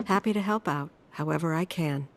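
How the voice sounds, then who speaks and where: An actress playing a worried, loving middle-aged mother in a video game drama, speaking in an earnest, measured, heartfelt way. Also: low-pitched. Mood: wistful.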